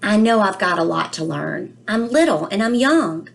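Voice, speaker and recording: A woman's voice: medium pitch, animated, normal speed, creaky. Anxious, literal-minded little boy played by a woman, fretting in singsong storybook rhyme. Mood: fretful, indignant. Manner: worried, singsong rhyming delivery. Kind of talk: expressive storybook reading.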